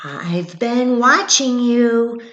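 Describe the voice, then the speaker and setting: A woman voicing a watchful, nosy chipmunk in a storybook, speaking deliberately and emphatically. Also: on the high side, animated, fairly bright tone, dull audio, pitched above the performer's own voice, exaggerated voice. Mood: knowing, nosy. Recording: echoey, roomy sound.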